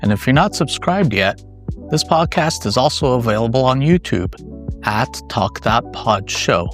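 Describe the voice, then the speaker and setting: A man's voice: medium pitch, animated, measured pace, faint music behind. A man speaking as a podcast host, in a presenter's style. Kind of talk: interviewing and scripted sign-off.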